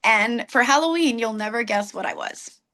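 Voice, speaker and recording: A woman in her thirties, a burlesque performer, telling stories in a warm, chatty interview. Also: a little high, lively intonation, normal speed. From United States. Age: 30s. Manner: warm storyteller, many restarts. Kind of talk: casual interview chat.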